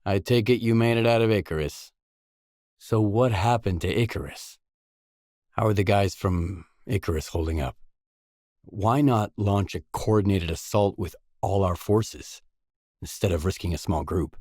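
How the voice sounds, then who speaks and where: Blunt wary merc loner from a video game, lowish voice, terse and matter of fact, super dry recording. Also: male voice, unhurried, a little mellow, no room sound. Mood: guarded, businesslike. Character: gruff, wary male stalker, blunt mercenary loner. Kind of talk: video game dialogue lines.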